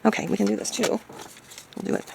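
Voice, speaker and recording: A woman chatting casually as she crafts, thinking aloud hesitantly in a hoarse, gravelly voice. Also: fast talker, background noise. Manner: hesitant, musing to self. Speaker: craft video maker.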